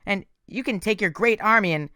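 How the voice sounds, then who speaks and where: A man voicing a frightened young boy who talks high and anxiously. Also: fairly quick, pushed and bright, no echo, studio quality.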